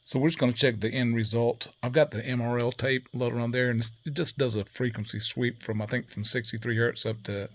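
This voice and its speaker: Gravelly-voiced man giving a technical walkthrough. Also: mid-range voice, steady tone, a little fast, hardly any pauses, a bit bright. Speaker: presenter demonstrating equipment calibration. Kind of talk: casual hands-on technical tutorial.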